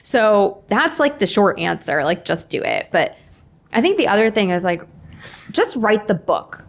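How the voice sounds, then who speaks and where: A woman's voice: medium pitch, very animated, a little slow. A woman in her forties speaking as a guest author in a casual podcast interview, candid and blunt, often voicing little imagined quotes. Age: 40s. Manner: candid, blunt, peppers talk with "like" and acted-out quotes.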